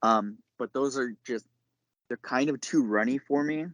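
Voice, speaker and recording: A man, probably middle-aged, talking casually as a podcast guest, explaining things in a halting, reflective way. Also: medium pitch, a bit bright, no room sound. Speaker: podcast guest, chef and caterer. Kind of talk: casual interview chat.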